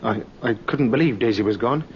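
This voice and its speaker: An actor playing an evasive farmer accused of murder in an old radio drama, halting and protesting. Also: male voice, medium pitch, natural voice. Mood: halting, distressed. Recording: muffled, noisy vintage radio recording.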